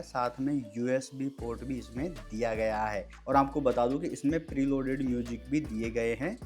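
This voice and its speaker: A man's voice: medium pitch, darkish tone. A man giving a casual, step-by-step product review, addressing viewers as friends. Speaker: product reviewer and video host.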